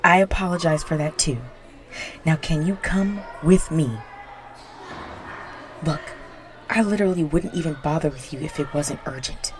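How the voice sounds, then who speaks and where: A young woman voicing a bratty, bossy popular high school girl in an intimate audio roleplay, whiny and exasperated, with desperation underneath. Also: normal speed, long pauses, slight background noise, natural voice. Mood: exasperated, impatient. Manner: whiny, sighing, pushy.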